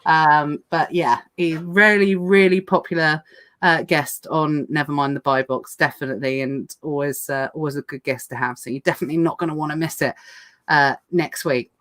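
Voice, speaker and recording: Woman, livestream host, hoarse voice, chatty with many fillers, lowish pitch. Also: fairly even intonation, normal speed, a bit bright. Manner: chatty, lots of fillers and restarts. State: hoarse, losing her voice. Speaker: podcast host. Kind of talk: casual livestream chat.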